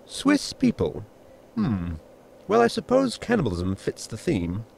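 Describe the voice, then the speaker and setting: Man playing a gravelly, pedantic android. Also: medium pitch, huge pitch swings, unhurried, a little mellow, slight background noise, full character voice. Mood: dry. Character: pedantic, deadpan android scholar, easily affronted. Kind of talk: sci-fi comedy audio drama.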